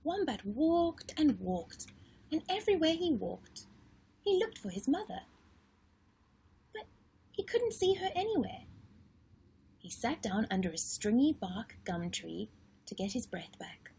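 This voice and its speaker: A woman reading a children's storybook expressively as host, with dramatic pauses and emphasis. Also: sits high, very animated, vocal fry, mellow, slightly rough. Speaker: story-time host and reader.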